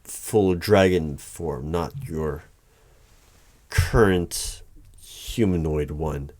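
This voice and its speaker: A man playing a formal, easily flustered hiring manager in an ASMR roleplay, speaking in measured, halting phrases. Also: a bass voice, darkish tone, exaggerated voice. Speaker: performer of a one-sided roleplay. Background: computer keyboard typing.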